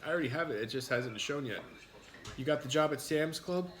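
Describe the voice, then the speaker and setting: A man's voice: fairly high pitch, brisk, fairly bright tone. A man who drives a truck for work, chatting bluntly and teasing over a meal, swearing freely, his nose starting to run. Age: adult parent. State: runny nose. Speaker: mukbang host, truck driver. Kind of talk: casual chat while eating.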